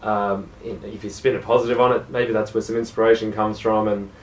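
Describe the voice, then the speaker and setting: Young Australian man, jazz muso guest, lowish voice, easygoing and self-deprecating; roomy off-mic sound. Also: fairly quick, far from the mic. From South Gippsland, Australia. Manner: relaxed, self-deprecating, hesitant with fillers. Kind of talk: casual radio interview.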